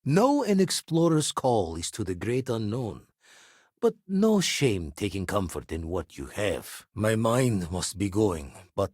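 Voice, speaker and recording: Husky, seasoned ex-pirate spaceman. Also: lowish voice, sing-song, unhurried, darkish tone, no room sound, clean studio sound. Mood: steady, weathered. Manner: clipped folksy phrasing, dropped subjects, world-weary. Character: gruff, seasoned male ex-pirate spaceman, watchful and loyal. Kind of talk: video game voice acting, dramatic dialogue.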